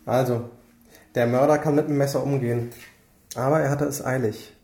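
A man playing a dry, matter-of-fact crime scene technician in a German radio crime drama, reporting in a deadpan way. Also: medium pitch, normal speed, close to an everyday voice. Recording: echoey room.

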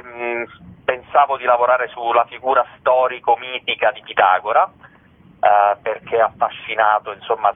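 A theatre man giving conversational, explanatory interview answers in a halting way. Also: medium pitch. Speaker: theatre actor-director, interview guest. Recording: narrow tinny phone line.

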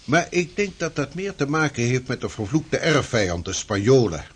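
An actor playing a shrewd 16th-century preacher who speaks in a lively, formal, old-fashioned way. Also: male voice, medium pitch, normal speed, husky. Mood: earnest. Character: shrewd 16th-century Dutch preacher, fierce against Spain. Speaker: preacher and cartographer. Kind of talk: radio drama acting.

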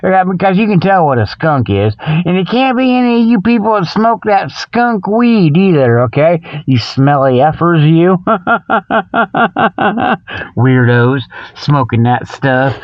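A man hosting a comedy radio show, rambling folksily through mock sales pitches in a scatterbrained way and often losing his thread. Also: very animated, takes it slowly, no room sound. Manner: scatterbrained, loses his thread, chuckles at his own jokes; folksy, colloquial, checks in with "okay". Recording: dull lo-fi radio sound.

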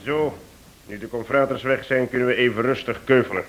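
An actor playing a composed investigator, orderly and explanatory, in a radio detective play. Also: male voice, mid-range voice, steady tone, brisk, fairly bright tone, soft background music, natural voice. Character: composed male investigator laying out a case. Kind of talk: radio detective drama acting. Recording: dull, muffled old radio recording.

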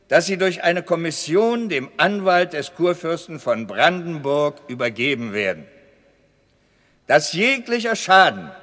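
A man's voice: high-pitched, fairly bright tone. A man acting a formal court advocate in a radio play, reading out a verdict in a precise, emphatic declamation. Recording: long reverberant hall.

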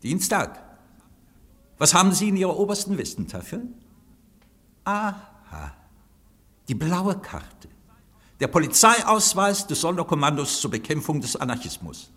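A man's voice: on the high side, very animated, unhurried, long pauses, sharp tone, exaggerated voice. An actor playing a commanding, sardonic president of anarchists in a radio play, theatrical and biting in his delivery. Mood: stern, triumphant. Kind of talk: German radio play acting. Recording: long hall-like reverb.

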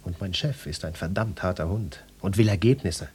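An actor playing a pompous, insinuating police detective who questions people in florid, officious language in a satirical radio play. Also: male voice, deep voice, normal speed, a little mellow, slight background noise, close to an everyday voice. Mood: weary, self-important. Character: pompous, nosy, lecherous police detective interrogating a housewife.

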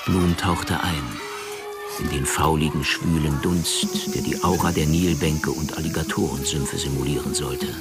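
A man's voice: a bass voice, fairly even intonation, measured pace, loud music behind the voice. A man narrating a crime radio play in a scripted, literary storytelling style.

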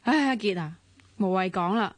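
An actress in a Hong Kong radio drama playing a loud, rude young woman who teases sharply, with a Hong Kong Cantonese accent. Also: medium pitch, animated, normal speed, breathy edge, no echo. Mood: weary. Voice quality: loud, brusque, teasing. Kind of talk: broadcast radio drama acting.

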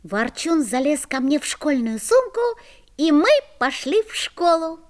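A woman's voice: high-pitched, very animated, unhurried, a little hiss or hum. A woman playing an earnest young schoolboy. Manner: earnest storytelling. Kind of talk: musical fairy-tale audio play narration.

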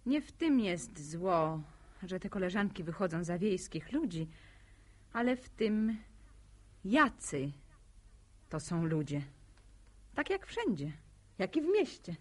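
A young country schoolteacher in a radio play. Her husky voice moves in lively melody, yet she stays proper, composed and quietly firm. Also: medium pitch. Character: composed, principled young village schoolteacher being courted. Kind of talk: Polish radio drama acting.

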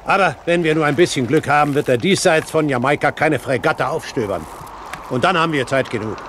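An actor playing a shrewd older pirate captain, grand and wry in a 1970s German radio drama. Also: male voice, a little high, fairly quick, fairly bright tone. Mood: confident, commanding. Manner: grand, wry, authoritative, given to mild curses. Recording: 1970s radio play, background noise.

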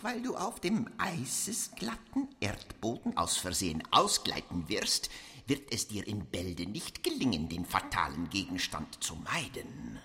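Slow, very hoarse, menacing killer. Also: male voice, lowish voice, bright, forceful tone, exaggerated voice. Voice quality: hoarse, breathy, gravelly. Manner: slow, solemn, incantatory, half-whispered. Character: menacing killer who sweetly disclaims his deadly intent. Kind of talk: poetic radio play monologue. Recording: long cavernous reverb.

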